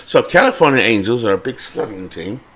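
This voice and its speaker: A man's voice: mid-range voice, expressive, brisk, a bit bright. A British man hosting a baseball sim game channel, chatting casually and rambling with fillers and self-corrections.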